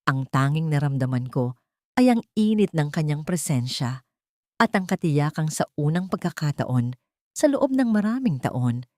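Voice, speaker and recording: Low-voiced Filipino woman narrating a story. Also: a little mellow, no room sound, clean studio sound. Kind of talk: scripted dramatic first-person narration.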